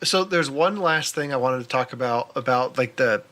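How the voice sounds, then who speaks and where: A man's voice: mid-range voice, normal speed, sharp tone. A man co-hosting a podcast, discussing films in a casual, conversational style. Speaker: podcast co-host. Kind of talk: casual chat about films.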